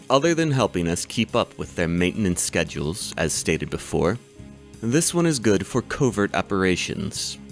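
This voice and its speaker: An actor playing a calm robotics professor who lectures his class in a measured, explanatory way. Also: deep voice. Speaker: doctor teaching a robotics class. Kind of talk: audiobook character reading.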